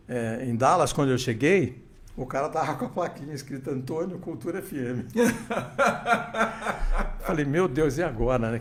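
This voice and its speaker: An older man, an interview guest telling radio anecdotes with many restarts and quoted dialogue. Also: fairly high pitch. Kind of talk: casual storytelling interview.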